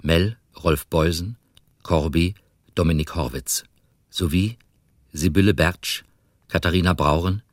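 A man reading radio drama credits as a formal, measured announcer. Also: sits low, a bit bright, no echo, studio quality.

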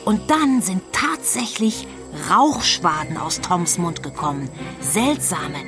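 Female narrator, slow pace, measured scripted storytelling, children's radio play, clear music behind. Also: on the low side, big pitch swings.

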